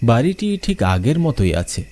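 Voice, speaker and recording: A man's voice: mid-range voice, very animated, normal speed, warm tone. A man narrating a first-person horror story in a dramatic, suspenseful way. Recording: music bed under the voice.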